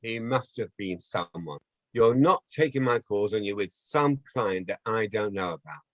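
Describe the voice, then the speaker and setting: A man's voice: fairly low pitch, animated, moderate pace, dry recording, lightly acted, poor audio. A man playing an angry, bullying gangster pimp who interrogates aggressively. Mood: menacing. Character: angry, menacing male gangster pimp, foul-mouthed and bullying.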